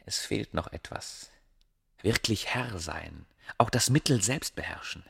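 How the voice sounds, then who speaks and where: Very deep-voiced, brooding male scholar. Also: expressive, a little slow, gravelly, a bit bright, slightly rough, exaggerated voice. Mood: brooding, ambitious. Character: proud, secretive male scholar of ancient Egypt, ambitious, curious. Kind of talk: radio play acting.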